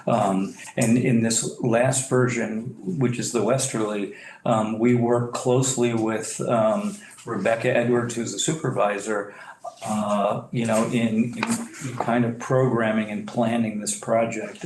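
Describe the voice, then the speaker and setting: A developer presenting to a public board meeting, halting with many fillers and restarts, clearing his throat as he loses his voice. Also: lowish voice, darkish tone. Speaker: property developer. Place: meeting room. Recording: echoey room, faint noise.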